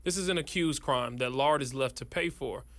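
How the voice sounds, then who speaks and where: A man's voice: medium pitch, normal speed, a bit bright. An American man delivering scripted narration as a television news reporter.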